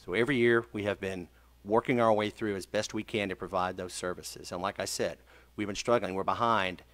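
The low-pitched man you would hear explaining things to the council from the staff seat at a town meeting. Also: steady tone, a little fast, a bit bright. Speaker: city manager. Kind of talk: formal public meeting remarks. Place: council chamber.

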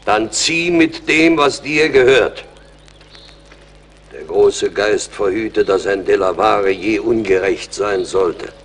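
Slow, solemn old chief. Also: male voice, lowish voice, a little hiss or hum. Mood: stern, solemn. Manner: solemn, measured. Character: stern, dignified old Delaware chief in a radio play. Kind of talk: dramatic radio play acting.

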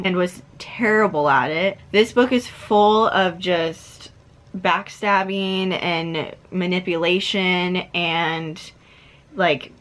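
A young woman in her twenties talks straight to the camera about a book she has read. She speaks casually and chats along, dropping "like" all through her sentences, and now and then glances at her notes. Her voice sits a little low for a woman. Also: fairly bright tone. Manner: chatty, heavy use of "like", reads from notes. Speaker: book vlogger. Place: indoor room with dark backdrop.

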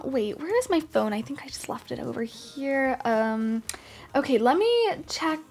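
A spoiled, easily exasperated rich schoolgirl and young mum played by a woman. She has a high voice and sighs and grumbles to herself as she goes through an improvised game roleplay. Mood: grumbling. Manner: sighing, complaining, talking to herself.

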